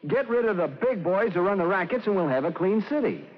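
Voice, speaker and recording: An earnest young politician in a vintage radio play. His high voice rings out indignantly in a reverberant hall, halting yet impassioned. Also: lively intonation, muffled recording, faint music behind. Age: young adult. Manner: impassioned, halting speech-making. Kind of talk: 1950s radio drama oratory.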